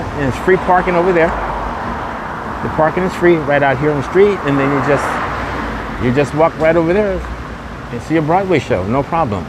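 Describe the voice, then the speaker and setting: A man hosts a casual walking tour podcast, repeating his points in tour-guide patter. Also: on the high side. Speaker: podcast host and vlogger. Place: outdoors on a windy street at a shopping mall. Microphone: camera microphone with a wind guard. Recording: windy outdoor street noise.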